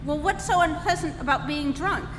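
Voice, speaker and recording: A woman's voice: high-pitched, normal speed, natural voice. A woman playing a bewildered, polite, fretful Earthling stranded in space, in a live radio-style comedy. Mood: plaintive, dry. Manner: plaintive, politely indignant. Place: live stage performance in a hall. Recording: echoey hall, loud music behind.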